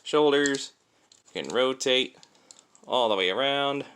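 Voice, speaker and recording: Bright-voiced man giving a casual review. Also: medium pitch, slow, clear, resonant tone. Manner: informal, step-by-step explaining. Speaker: toy reviewer. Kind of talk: casual hands-on product review.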